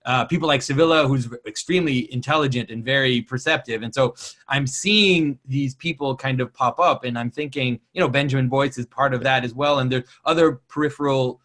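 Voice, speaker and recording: A man's voice: medium pitch, fairly quick, a bit bright. A man from Quebec with a French Canadian accent, French his first language, talking things through in a thoughtful, hesitant way with many restarts. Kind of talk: casual conversation.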